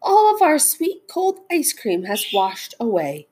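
Storybook toad acted by a woman: male, eager, easily flustered, raised pitch, exclamatory. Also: huge pitch swings, measured pace, a little mellow, slightly rough, heavily acted. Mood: distressed. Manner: exclamatory, dramatic.

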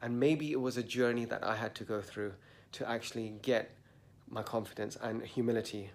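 A man with a slightly blocked nose hosting a motivational podcast, talking in an earnest, slow and deliberate way and stressing his words. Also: medium pitch, fairly even intonation, vocal fry. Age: adult, a parent. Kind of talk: reflective motivational monologue. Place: sitting indoors at dawn.

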